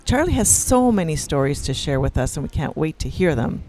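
A man with a fairly high voice hosts a podcast in a chatty, upbeat way. Faint ambient sound sits behind him, and he introduces his guests with easy enthusiasm. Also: sing-song, fairly quick, darkish tone, a little hiss or hum, low-quality recording. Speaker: host. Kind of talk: podcast hosting and ad read. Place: resort in Jamaica.

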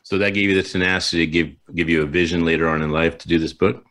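A man's voice: low-pitched, fairly even intonation, quick pace, fairly bright tone. A man hosting an interview show, upbeat and enthusiastic, often repeating words as he talks. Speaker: podcast host. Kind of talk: casual interview chat.

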